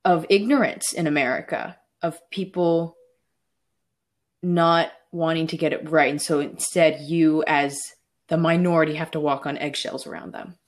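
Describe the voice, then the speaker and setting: A young woman with a notably low voice talks through her own memories in an easy, unhurried way. She pauses often and fills gaps with small hesitations as she thinks aloud. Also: steady tone. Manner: reflective, personal storytelling with fillers. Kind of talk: casual discussion.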